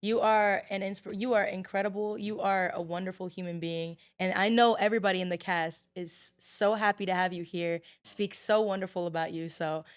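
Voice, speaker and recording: A woman talk show host with a mid-pitched voice chats casually with her guests. She is effusive and encouraging, and throws in quick interjections as they talk. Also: fairly even intonation.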